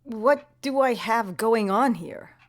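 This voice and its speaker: A woman's voice: on the high side, expressive, slow. An actress playing a sardonic, stressed woman homeowner who talks with dry, clipped sarcasm. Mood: exasperated. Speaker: lead character.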